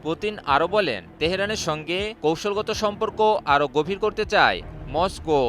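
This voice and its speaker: A Bangladeshi man narrates a news report in a high, forceful voice. His delivery is scripted, in the manner of a reporter's voice-over. Music plays underneath. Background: music bed under the voice-over.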